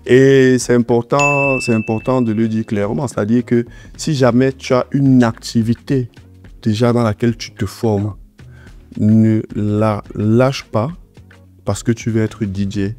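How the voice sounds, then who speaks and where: A man's voice: mid-range voice, steady tone, a little slow, darkish tone, soft background music. A man with a slight head cold, talking conversationally as an interview guest and repeating points for emphasis. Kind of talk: conversational interview answers, giving advice.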